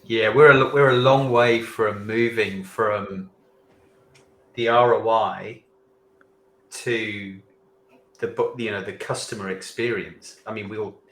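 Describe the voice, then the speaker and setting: Low-voiced man in an echoey room. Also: steady tone, slow, long pauses, fairly bright tone. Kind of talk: livestream panel discussion.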